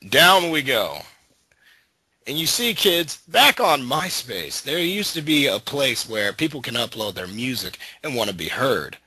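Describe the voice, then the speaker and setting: Husky, bright, teasing male co-host. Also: medium pitch, very animated, unhurried, no room sound, low-quality recording. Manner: teasing, playful, mock-storytelling. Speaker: podcast co-host. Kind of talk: casual chat.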